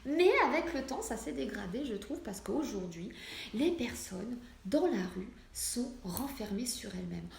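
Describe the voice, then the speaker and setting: A woman's voice: fairly high pitch, expressive, unhurried. A woman in her forties speaking in an earnest, emphatic and conversational way, as in a casual motivational vlog. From France, Portuguese family background. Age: 40s. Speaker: medium addressing online followers. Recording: roomy echo, clear background noise.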